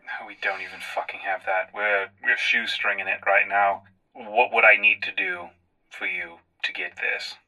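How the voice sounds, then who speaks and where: Bright, lowish-voiced man roleplaying. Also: fairly even intonation, normal speed, no echo, poor audio. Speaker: tabletop podcast player. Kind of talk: improvised in-character roleplay.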